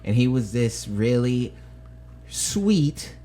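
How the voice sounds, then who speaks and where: A man's voice: medium pitch, big pitch swings, takes it slowly, dark tone. A man co-hosting a podcast, telling rambling stories in casual chat. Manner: rambling storyteller, trails off and restarts. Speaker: podcast co-host.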